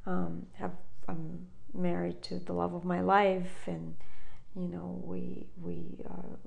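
Gravelly woman guest. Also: on the low side, monotone, darkish tone. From Russia. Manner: halting, many fillers and restarts. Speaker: guest, chef. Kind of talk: casual interview chat.

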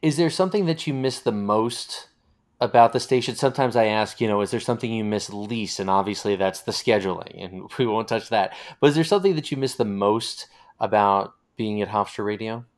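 A man's voice: on the low side, brisk. An American man hosting a conversational interview, talking in an encouraging, affirming and discursive way. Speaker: interview host.

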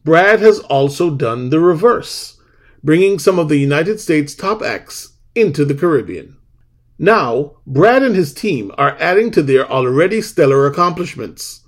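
A man's voice: on the high side, a little slow. A man hosting a podcast, reading a scripted introduction and then chatting casually with a guest, laughing easily. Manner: lively, animated delivery with laughs. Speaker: podcast host.

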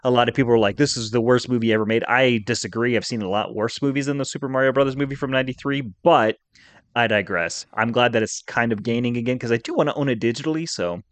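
A male podcast co-host talks in a middle-pitched voice. He is chatty and conversational, rambling casually from one point to the next. The sound is close and dry, with very little room in it. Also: quick pace, dry recording.

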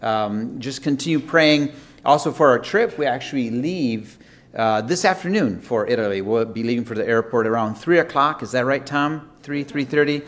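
A man who is a missionary giving a friendly, relaxed update to a church congregation, with frequent fillers. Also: medium pitch, normal speed.

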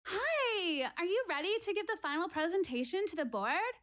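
A woman's voice: very high-pitched, sing-song, moderate pace, a bit bright, exaggerated voice. An actress playing an earnest, wry woman philosophizing to her theater audience in a dramatic one-woman monologue. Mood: sarcastic. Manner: dramatic, rhetorical, addressing listeners directly.

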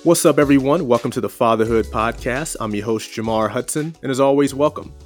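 A man's voice: medium pitch, a little fast, soft background music. A man hosting a podcast, opening with a scripted intro and welcoming a guest in an upbeat way. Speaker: podcast host. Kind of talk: scripted intro then casual interview.

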